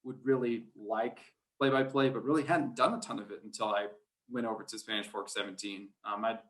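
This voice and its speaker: A man's voice: on the low side, steady tone, brisk, fairly bright tone, rough recording. A man who works as a sports announcer, talking casually as a guest in a hesitant, rambling, good-humored way. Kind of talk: casual chat.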